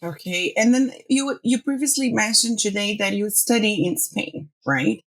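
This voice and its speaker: Woman interviewer, gravelly voice, friendly winding questions, mid pitch. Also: expressive, normal speed.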